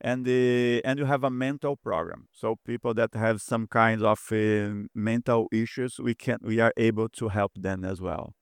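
A man from Brazil with a strong Brazilian Portuguese accent, answering interview questions in halting English with frequent pauses. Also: on the low side, steady tone, smooth, dry recording, clean studio sound. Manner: halting, searching for words with frequent "uh". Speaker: president of a lifestyle health center.

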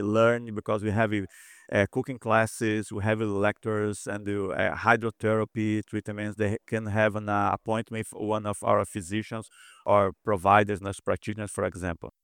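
Man, Brazilian Portuguese accent, halting with lots of "uh", resonant voice, dry sound. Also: lowish voice, clear, resonant tone, dry recording. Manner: halting, searching for words with frequent "uh". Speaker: president of a lifestyle health center. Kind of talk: interview answers.

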